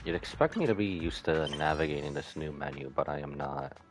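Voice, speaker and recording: Very deep-voiced man casually commentating a game. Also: a little fast, music in the background. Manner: rambling, drifts into asides. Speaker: live-streamer.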